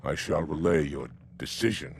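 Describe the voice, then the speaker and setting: Game role: proud alien commander, low and gravelly, stern and formal, effects behind. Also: male voice, moderate pace, background noise. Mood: restrained, formal. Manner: formal, forceful. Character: stern, dignified male alien warrior commander. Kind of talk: cinematic game voice acting. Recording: clear background effects.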